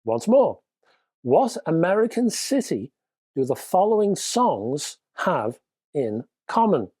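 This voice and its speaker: A man's voice: fairly high pitch, very animated, slow pace, a little mellow, husky, dry recording. A man with a stuffy, nasal voice from a cold, presenting a casual hi-fi news roundup with dry, wry humor.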